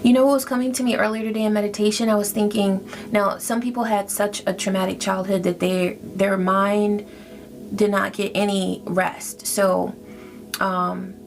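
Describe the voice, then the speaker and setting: A woman giving a spiritual tarot reading in a soft, reflective, gently encouraging way. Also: mid-range voice, vocal fry. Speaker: tarot reader. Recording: faint music behind.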